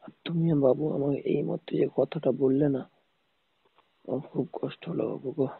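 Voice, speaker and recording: Man, very husky, creaky voice, insistent repeated demands, intimate relationship argument, narrow muffled phone recording. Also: mid-range voice, steady tone, brisk. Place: phone call.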